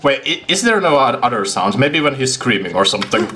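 A man's voice: medium pitch, heavy background noise. A man in his twenties giving hyped live stream commentary, often repeating his phrases. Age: 20s. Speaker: game streamer. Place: small home room with kitchen. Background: music.

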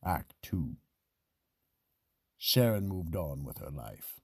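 Male narrator for mystery radio: extremely low, husky, solemn, in a dry close studio sound. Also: very animated, slow pace, dry recording, faint music behind. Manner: solemn, ominous storytelling. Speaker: narrator and host.

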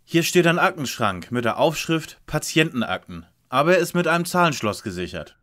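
A man's voice: a little high, sing-song, fairly quick, a bit bright. A man playing a self-assured young lead detective in a parody audio drama, dry, precise and commanding. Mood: dry, composed.

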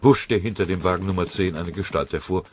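Deep male narrator reading a dramatic war audiobook, faint battle effects behind him. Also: a little fast, a little hiss or hum.

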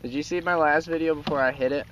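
A man's voice: on the high side, steady tone, quick pace, a bit bright, dull audio. Young man vlogging outdoors, some background noise. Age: young adult. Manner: informal, addresses viewers. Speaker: vlogger filming a canoe trip. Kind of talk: casual running commentary. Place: outdoors in a canoe on a lake. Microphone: handheld camera mic. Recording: noisy outdoor handheld camera recording.

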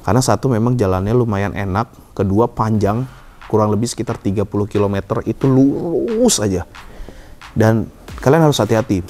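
Low-voiced young man narrating a ride. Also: dark tone. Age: young adult. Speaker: motorcycle vlog host. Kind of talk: casual explanatory narration. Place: indoors in a decorated lounge. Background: background music.

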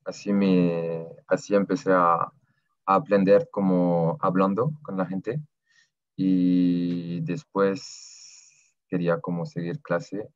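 A man's voice: low voice, no room sound. A young man from southern France, a native French speaker, answering interview questions in a hesitant way and often stopping to find words, heard over a video call. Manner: halting, searching for words with many pauses. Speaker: interviewee, Spanish learner. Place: small room at home. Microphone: laptop webcam on a video call. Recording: dull lo-fi video call audio.

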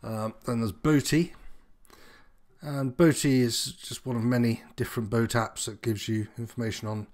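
A man's voice: medium pitch, steady tone, moderate pace, darkish tone. A man giving an informal, unscripted walkthrough as an instructor, explaining things in a slightly hesitant, meandering way. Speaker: sailing instructor presenting tutorial.

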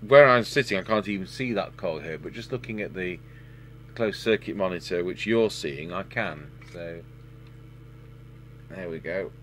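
A middle-aged man giving a casual, halting repair demonstration, thinking aloud as a technician at work. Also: deep voice. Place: indoor workbench. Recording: steady hum and background noise.

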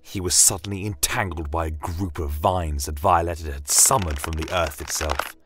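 A man giving a solemn, measured, scripted dramatic narration of a fantasy tale in the first person. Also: sits low, steady tone, a bit bright, husky, no room sound, soft background music.

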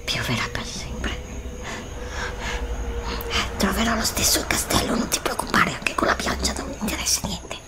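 A woman's voice: medium pitch, big pitch swings, normal speed, pauses often. A middle-aged woman whispering an ASMR roleplay, pleading and anxious, recorded indoors on a desk studio mic. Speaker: ASMR creator. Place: indoor room before a green screen.

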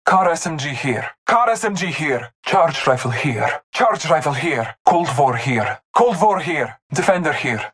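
Solemn hunter warrior, higher-pitched. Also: male voice, sing-song, unhurried, hardly any pauses, a bit bright. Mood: terse, alert. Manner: solemn, formal, ceremonial phrasing. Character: solemn, devout hunter warrior of the old gods. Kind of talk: video game character callouts.

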